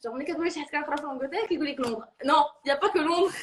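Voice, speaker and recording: A woman's voice: high voice, a little fast, slight background noise. A woman in her early twenties with a Moroccan accent, chatting casually and informally in an interview, with slangy filler words and a giggly manner. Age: early 20s. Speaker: international university student giving a testimonial.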